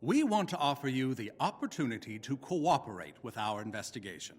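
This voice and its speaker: An actor playing a bitter, vengeful older scientist in a video game, speaking with cold, formal contempt. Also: male voice, mid-range voice, very animated, in a large hall. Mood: stern, official. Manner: contemptuous, formal, deliberate menace. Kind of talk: dramatic video game voice acting.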